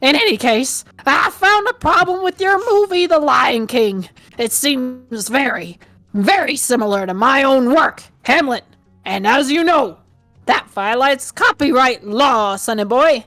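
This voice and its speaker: A woman puts on a very old Southern hillbilly playwright in a comic story. The voice is raised high, tired and raspy, with drawn-out, self-important folksy delivery. It sounds close and dry, with some music behind. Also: lively intonation, fairly bright tone, no echo. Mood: indignant, folksy. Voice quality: worn, tired old rasp.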